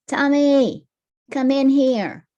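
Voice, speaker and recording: Exasperated high-voiced scolding mother played by a woman. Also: very slow, dull audio. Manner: sharp, insistent, nagging questions. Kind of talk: picture book character voice.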